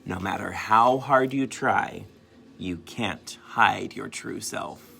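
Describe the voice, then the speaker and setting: A man voicing a sly male fox who flatters in a honeyed, drawn-out way for a children's storybook. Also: fairly low pitch, gravelly, husky, heavily acted.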